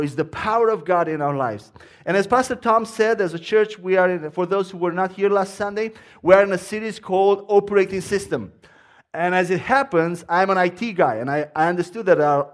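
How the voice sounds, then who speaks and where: A man in his thirties with a Romanian accent, a guest preacher in a church giving an earnest, fervent sermon with frequent restarts. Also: sits high. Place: church sanctuary.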